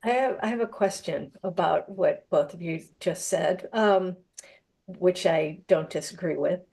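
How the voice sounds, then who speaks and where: Mellow-voiced woman moderating a discussion. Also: fairly low pitch, a little slow, gravelly. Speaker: moderator, theater maker. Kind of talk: panel discussion, posing a question.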